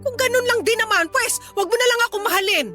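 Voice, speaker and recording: Casting: scornful, quick-tempered mother for radio drama; extremely high, piercing, bright delivery. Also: soft background music. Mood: angry, hurt. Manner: scornful, emotional, defensive. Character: bitter, hot-tempered mother of a grown child.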